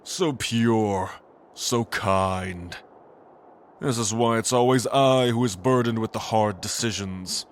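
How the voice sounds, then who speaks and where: A man's voice: fairly low pitch, takes it slowly, slight background noise. An actor playing a cold, manipulative angel lord and father in a fantasy audio drama, speaking in a grandiose, condescending way.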